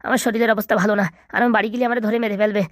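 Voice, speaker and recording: Woman playing a meek, fast-talking young cartoon runaway. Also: mid-range voice, level tone, no echo, clean studio sound, close to an everyday voice. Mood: pleading. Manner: pleading, meek. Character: poor, lovelorn, desperate young runaway man. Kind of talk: comedy cartoon dialogue.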